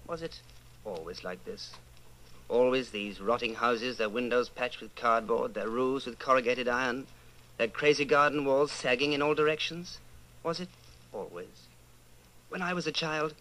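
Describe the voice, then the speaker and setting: A troubled middle-aged man played by an actor speaks his thoughts in a medium-pitched voice. He is halting, intense and fearful, in old-time radio drama style. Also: pushed and bright, close to an everyday voice, low-quality recording. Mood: wistful, troubled. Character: brooding, fearful 39-year-old man, secret rebel.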